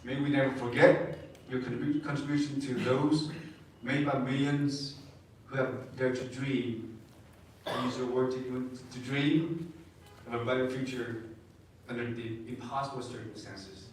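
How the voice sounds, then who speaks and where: A man of middle pitch speaks slowly and solemnly, weighing each phrase. He is heard from across the room, and the speech rings through a big, echoing hall with a faint hum underneath. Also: steady tone. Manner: solemn, slow and deliberate. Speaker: speaker at a veterans event. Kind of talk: prepared commemorative speech. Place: large exhibit room. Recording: echoey hall from far off.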